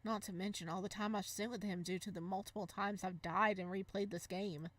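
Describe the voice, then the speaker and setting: A woman hosting a gaming video, moving between expressive story narration and casual, animated commentary. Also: mid-range voice, brisk. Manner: animated, exclamatory asides. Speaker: gaming video host reading a visual novel.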